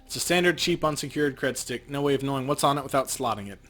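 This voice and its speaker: A man's voice: mid-range voice, quick pace, fairly bright tone, soft background music. A man co-hosting a let's play, reading game text like a narrator with casual asides.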